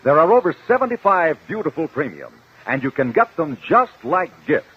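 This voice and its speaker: A man announcing a 1950 radio advertisement with an emphatic sales pitch. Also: sing-song, moderate pace, slightly rough. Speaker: commercial announcer. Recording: muffled vintage 1950 radio recording.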